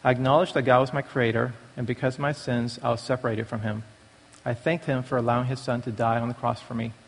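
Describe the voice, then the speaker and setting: A man's voice: medium pitch, flat delivery, fast, slightly rough. A man gives a prepared personal testimony at a church recovery meeting, speaking in a large, echoing hall. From born in Taiwan, raised in the United States from age five. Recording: long reverberant hall echo.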